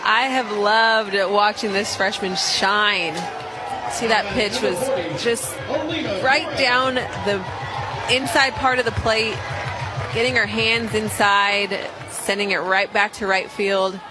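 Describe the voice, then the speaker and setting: A woman giving upbeat, appreciative live analysis as the color commentator on a softball broadcast. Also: mid-range voice, steady tone, slow. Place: outdoor softball stadium. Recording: loud crowd and outdoor stadium noise. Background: crowd noise, outdoor ambience.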